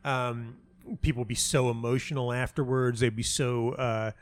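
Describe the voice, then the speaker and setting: A man's voice: mid-range voice, level tone, normal speed, dry recording. Rambling male interviewee in a dry recording. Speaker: playwright and audio dramatist being interviewed. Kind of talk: casual conversational interview answers.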